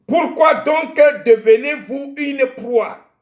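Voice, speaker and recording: A man from Togo, whose first language is Ewe, preaching a sermon in French with a Togolese accent, slow and halting, repeating words as he searches for them. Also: extremely high pitch, echoey room. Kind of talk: sermon with Bible reading.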